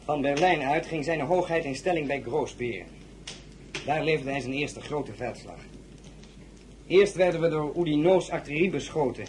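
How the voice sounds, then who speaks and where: An actor playing a proud, loyal count serving as a royal military aide, formal and earnest, in an audio drama. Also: male voice, a little high, normal speed, a little mellow, a little hiss or hum, lightly acted. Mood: solemn, reporting. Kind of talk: Dutch audio drama acting.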